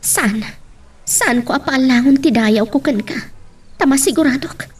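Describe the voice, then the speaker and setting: Lady playing a heartbroken young girl, super high plaintive voice, kinda far from the mic. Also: a little slow, husky. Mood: sad, resigned. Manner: plaintive, emotional. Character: anguished young pregnant woman, wronged in love. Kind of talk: melodramatic audio drama acting.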